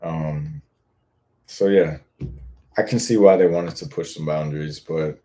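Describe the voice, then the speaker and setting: A man's voice: sits low, mellow. A young man hosting a live Q&A, chatting casually and frankly, reading viewer comments and swearing now and then. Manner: laid-back, rambling, frank with casual swearing. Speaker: boyfriend-audio creator hosting a live Q&A.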